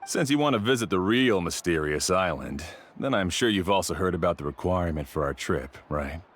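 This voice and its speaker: Casting: scornful young guide for a fantasy game, low voice, swooping condescending delivery. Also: male voice, expressive, fairly quick, a bit bright. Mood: haughty, scornful. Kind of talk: video game voice acting.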